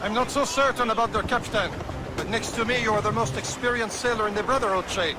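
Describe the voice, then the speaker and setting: A pompous French chevalier and ship's captain acted by a man, pitched high for a male voice. He is haughty and scornful in the style of a game cutscene, and music is clearly heard behind him. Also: fast, lightly acted. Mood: grudging, sneering. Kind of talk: video game cutscene acting.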